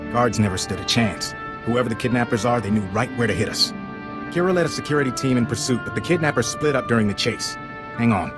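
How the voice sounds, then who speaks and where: A man's voice: medium pitch, fast, natural voice. Man playing a grave, commanding general. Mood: urgent. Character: stern, urgent military general giving briefings. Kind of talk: video game voice acting. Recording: music playing behind.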